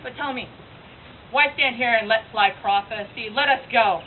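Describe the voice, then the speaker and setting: A woman's voice: fairly high pitch, normal speed, natural voice. A woman performing a scornful, doomed young prophetess in a declamatory stage monologue from Greek tragedy. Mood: defiant, frenzied. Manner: declamatory, theatrical. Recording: tinny, noisy far-off event recording.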